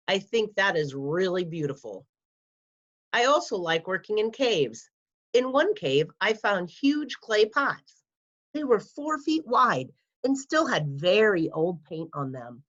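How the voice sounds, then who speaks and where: A woman with a fairly low voice reads aloud to young pupils like a school librarian. Her delivery is careful and clearly scripted for children. The recording sounds somewhat dull and lo-fi. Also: a bit bright. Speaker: school media specialist reading an article aloud to pupils.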